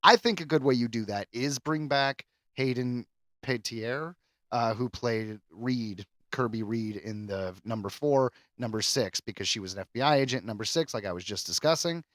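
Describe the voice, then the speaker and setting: A man co-hosting a podcast, chatting casually and rambling through animated asides. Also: mid-range voice, normal speed, dry recording. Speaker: podcast co-host. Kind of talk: casual chat about horror films.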